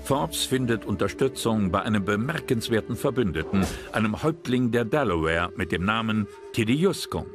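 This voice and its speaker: A man reading scripted historical documentary narration in a measured, dramatic storytelling manner. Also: on the low side, animated. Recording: prominent music behind narration.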